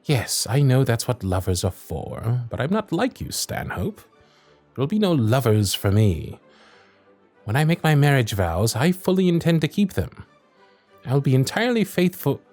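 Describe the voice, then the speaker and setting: A man's voice: mid-range voice, very animated, moderate pace, darkish tone, soft background music. A man playing a wry Victorian lord. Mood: exasperated. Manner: formal period speech, dry and sardonic. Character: young Victorian male lord, wry, proud, teasing. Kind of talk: ASMR roleplay audio drama.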